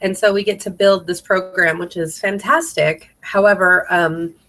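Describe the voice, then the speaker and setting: A woman's voice: fairly low pitch, fairly even intonation, normal speed, fairly bright tone. A woman with a slightly congested voice chatting casually and enthusiastically, rambling along as she hosts a team training video. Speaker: independent sales consultant hosting a team training video.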